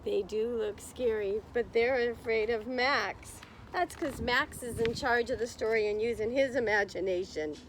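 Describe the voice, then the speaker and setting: A woman's voice: on the high side, measured pace, few pauses, a little hiss or hum, low-quality recording. A woman reading a picture book aloud to young children, expressively, with encouraging, chatty explanations along the way. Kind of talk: slow children's read-aloud with chatty asides to listeners.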